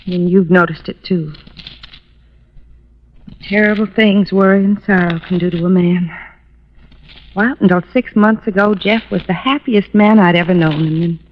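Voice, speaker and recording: A woman's voice: lowish voice, moderate pace, long pauses, slight background noise, natural voice. A woman playing a sweet, fragile young wife, worried and grieving, confiding earnestly and hesitantly in an old-time radio drama. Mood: sorrowful. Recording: muffled vintage radio recording.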